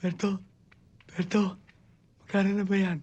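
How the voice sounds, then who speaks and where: An actor playing a timid, reluctant stunt double who keeps complaining about the dangerous work. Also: male voice, high-pitched, little pitch movement, a little slow, darkish tone, breathy edge. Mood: meek. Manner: whiny, complaining, hesitant.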